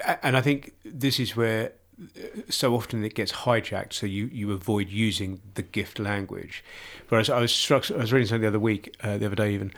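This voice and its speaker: A man, a pastor, joining a podcast chat, quick to tease and joke. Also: lowish voice. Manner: joking, teasing.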